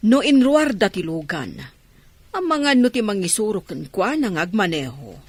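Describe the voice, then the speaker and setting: Animated Ilocano lady of the house played by a woman. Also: medium pitch, a little slow, husky, dry recording. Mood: questioning. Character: lady of the house, brisk employer questioning her maid. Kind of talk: Ilocano radio drama acting.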